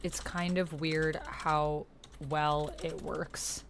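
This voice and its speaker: A woman's voice: low-pitched, level tone, extremely slow, creaky, a little hiss or hum. A woman hosting a roleplaying game livestream, chatting informally and thinking aloud as she types. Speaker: streamer hosting a solo actual-play roleplaying game. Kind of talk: casual livestream chat, reading out typed roleplay. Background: computer keyboard typing.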